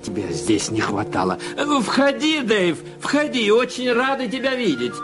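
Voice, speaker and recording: An actor in an audio drama playing a composed, controlling new husband who reassures and persuades. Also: male voice, high voice, huge pitch swings, moderate pace, loud music behind the voice. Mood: guarded. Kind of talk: radio play acting.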